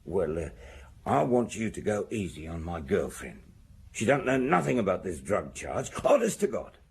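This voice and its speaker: An actor playing a shifty small-time crook and ex-sailor in a radio crime drama, talking in a rambling, colloquial way. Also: male voice, low-pitched, sing-song, normal speed, exaggerated voice. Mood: pleading. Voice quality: husky, gravelly. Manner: rambling, hesitant, colloquial storytelling.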